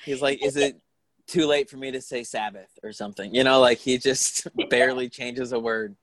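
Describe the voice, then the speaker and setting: A man's voice: medium pitch, steady tone, normal speed, a bit bright, no echo. A man in his late thirties co-hosting a casual chat, joking and sardonic, filling his talk with "like". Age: 30s.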